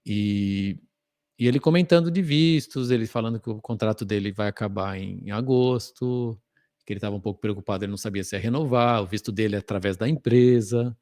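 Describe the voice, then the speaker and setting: A man, co-hosting the podcast and telling an anecdote in a relaxed, conversational way. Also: lowish voice, fairly quick, no room sound, clean studio sound. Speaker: podcast co-host. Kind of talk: conversational storytelling.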